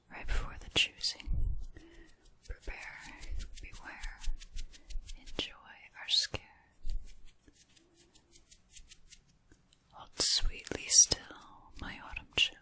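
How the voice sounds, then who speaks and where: A woman voicing a sinister, mock-courteous dark witch for an ASMR roleplay, in a breathy whisper with theatrical, formal wording. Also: heavily acted. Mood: incantatory. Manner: slow, theatrical, formal old-fashioned wording.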